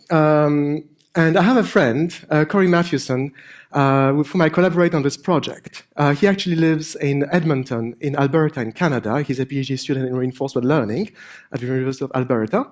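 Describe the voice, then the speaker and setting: Male conference speaker, middle-aged, French accent with a Polish hint, slightly high voice, informal, hesitant delivery with dry humour. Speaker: conference speaker, AI researcher and improviser. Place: on stage at a conference.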